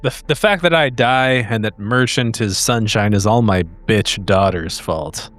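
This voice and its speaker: A man playing a tabletop roleplaying game, speaking casually in a halting, wordy, erudite way. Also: on the low side, fairly bright tone. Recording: music bed behind the voice.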